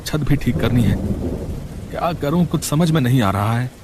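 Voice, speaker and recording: A man's voice: medium pitch, lively intonation, moderate pace, darkish tone, a little hiss or hum, natural voice. A man voicing a dejected, poor village fisherman in a story, speaking in a plaintive, weary way. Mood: helpless.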